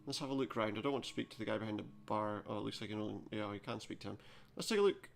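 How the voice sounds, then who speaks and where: Creaky-voiced man giving dry game commentary. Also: on the low side, racing. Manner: dry, joking asides. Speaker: gaming video host. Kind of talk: casual let's play commentary.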